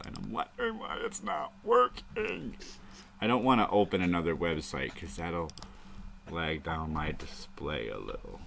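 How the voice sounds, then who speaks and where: A deep-voiced man talks slowly on a live stream, thinking out loud as he goes. He rambles through his points and swears casually. A faint ambient hiss sits under his voice. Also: vocal fry. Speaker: show host.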